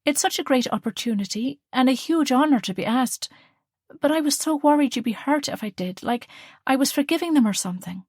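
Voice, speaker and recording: A woman's voice: sits high, expressive, a little fast, darkish tone, no room sound, studio quality, pitched above the performer's own voice. Woman voicing an earnest young daughter. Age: young adult. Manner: earnest, hesitant. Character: young university student daughter, earnest and nervous.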